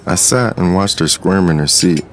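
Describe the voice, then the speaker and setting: A man's voice: sits low, normal speed, close to an everyday voice. A man playing a smitten street hustler, narrating his story in the first person with confident reflection. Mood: smitten, self-assured.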